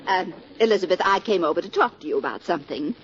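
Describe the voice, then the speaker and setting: A woman's voice: lowish voice, expressive, quick pace, a loud crowd, lightly acted. An actress playing a meddling, sugary mother-in-law in a 1940s radio comedy, with affected, fluttery sweetness. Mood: sugary, apologetic. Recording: muffled, noisy 1940s radio, studio audience.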